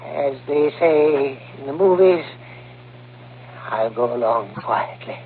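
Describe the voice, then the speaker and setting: A man's voice: medium pitch, animated, slow pace. An actor playing a meek, deferential middle-aged craftsman with something to hide, speaking hesitantly and politely in a 1950s radio drama. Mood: resigned. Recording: noisy, tinny vintage radio recording.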